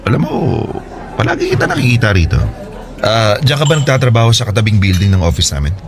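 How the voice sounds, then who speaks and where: Deep-voiced, hesitant Filipino man. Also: wildly swooping pitch. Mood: troubled, confiding. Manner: hesitant, halting, conversational. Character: troubled young married man, hesitant, friendly. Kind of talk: Filipino radio drama acting.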